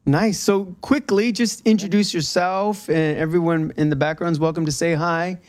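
A man hosting a casual video call, upbeat and quick to laugh, with short encouraging interjections. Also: fairly high pitch, steady tone, darkish tone, no room sound, studio quality. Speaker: host.

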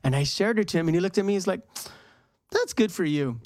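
A woman's voice: lowish voice, fast talker, no room sound, studio quality. A woman chatting candidly as a guest on a faith talk show, telling personal stories and acting out what people said. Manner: animated retelling with acted-out quotes. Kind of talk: candid personal storytelling in casual chat.

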